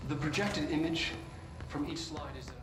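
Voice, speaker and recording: A man playing an earnest scientist dictating research logs in a video game, measured and clinical with a slightly high voice. The recording is roomy and echoey, with a steady hiss of noise underneath. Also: lightly acted. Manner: measured, clinical delivery with nervous stammers. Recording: echoey, noisy recorded log.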